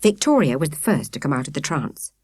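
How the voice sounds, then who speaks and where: Expressive woman reading, animated pitch. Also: brisk, no echo, clean studio sound. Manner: expressive, carefully phrased storytelling. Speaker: audiobook narrator. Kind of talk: scripted children's story narration.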